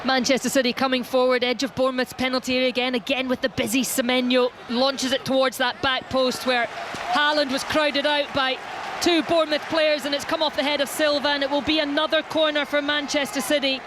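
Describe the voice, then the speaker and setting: A woman giving play-by-play commentary on a live football match, rising to shouts when the ball reaches the goalmouth. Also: high-pitched, little pitch movement, a little fast. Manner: rises to shouts at goalmouth action. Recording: noisy background.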